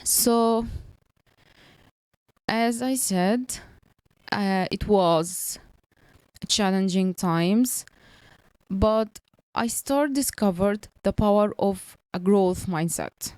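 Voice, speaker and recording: Woman, early thirties, non-native english speaker, earnest motivational vlog, slow delivery, dry sound. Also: medium pitch, a little mellow, clean tone, no room sound. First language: not English. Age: early 30s. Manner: earnest, repeats herself, non-native phrasing. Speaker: motivational vlogger.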